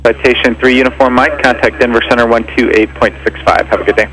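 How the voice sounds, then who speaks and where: A man working as an air traffic controller, giving clipped clearances over an aviation radio. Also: medium pitch, steady tone, fast talker, crowd noise, rough recording. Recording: aviation radio with faint background noise.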